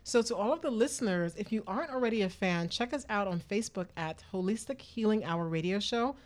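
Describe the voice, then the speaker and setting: Woman, radio host, casual chat, mid-range pitch. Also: animated, brisk.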